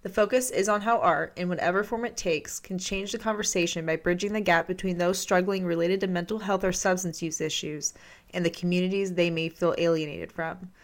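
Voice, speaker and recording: Lower-voiced woman hosting a podcast. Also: steady tone, fairly quick. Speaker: podcast host. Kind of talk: podcast announcements read from notes.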